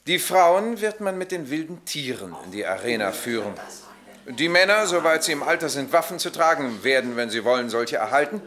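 An actor in a radio drama playing a stern Roman army captain who makes formal, clipped, coolly ironic pronouncements. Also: male voice, on the high side, very animated, moderate pace, a bit bright. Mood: coolly official.

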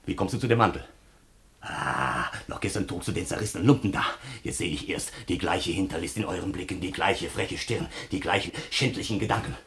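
A man's voice: low voice, brisk, a bit bright. An actor playing a ruined, paranoid man who laments and accuses with pompous indignation in a German radio comedy. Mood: suspicious, indignant. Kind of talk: theatrical German radio play acting of a classical comedy.

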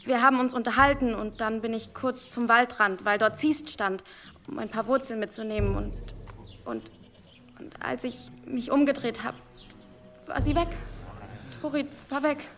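Nervous, high-voiced village girl. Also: fairly bright tone, slight background noise. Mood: nervous, defensive. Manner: halting, repeating herself nervously. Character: anxious, evasive village girl, defensive and guilty. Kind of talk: German audio drama acting.

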